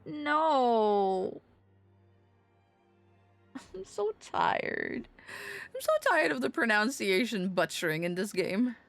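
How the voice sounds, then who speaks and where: A woman game streamer with plenty of vocal fry. She reads aloud and comments casually, sounding weary and blunt, with music playing softly behind her. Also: a little high, lively intonation, takes it slowly. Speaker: game livestreamer.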